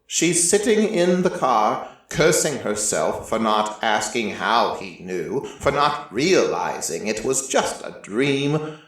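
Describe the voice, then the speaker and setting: A man's voice: mid-range voice, very animated, fairly bright tone. Man as an ancient deity narrating, heavily echoing. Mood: grave, reflective. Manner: measured, solemn storytelling with deliberate pauses. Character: ancient merciless deity, male-voiced, reflective and quietly fond. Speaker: narrator of a fiction story. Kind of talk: scripted first-person dramatic narration. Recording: echoey room, slightly distant from mic.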